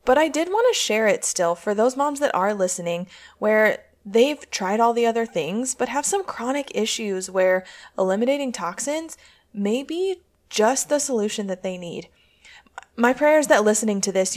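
A woman hosting a podcast as a coach, speaking in an earnest, encouraging way. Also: on the high side. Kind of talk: podcast intro and interview.

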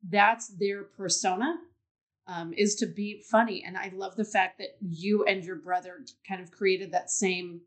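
A woman's voice: medium pitch, steady tone, unhurried. A woman hosting a podcast interview, asking questions and offering reflective, affirming comments. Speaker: podcast host.

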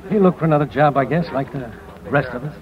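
Man acting a brisk, wry newspaperman. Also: medium pitch, natural voice. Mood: wry, resigned. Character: dry, matter-of-fact male newspaperman colleague, resigned. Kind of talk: 1950s radio drama acting. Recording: dull vintage radio broadcast.

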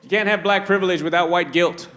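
A man's voice: high voice, fairly even intonation, fast, fairly bright tone, slight background noise. A Trinidad-born man with a Canadian accent doing a stand-up comedy set, chatty and acting out characters, laughing at his own jokes. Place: live comedy show with audience.

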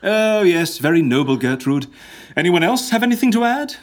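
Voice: mid-range voice, very animated, normal speed, close to an everyday voice. An actor playing an exasperated, nosy modern interviewer in a comic audio drama, blunt and colloquial. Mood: wry, prodding.

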